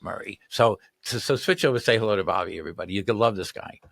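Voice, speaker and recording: A man's voice: on the low side, fast, vocal fry, a bit bright, no room sound. An older man hosting a casual studio interview, rambling and repeating himself as he talks. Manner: rambling, repeats words, laughs often. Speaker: host. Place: small studio with colorful backdrop. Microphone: studio mic on arm.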